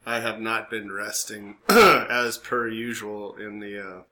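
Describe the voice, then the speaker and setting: A man's voice: on the low side, slow, pushed and bright. An American man co-hosting a podcast in casual banter, rambling and jokey as he interrupts himself. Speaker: podcast co-host. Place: small room, recorded for a podcast and live stream. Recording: clearly noisy background.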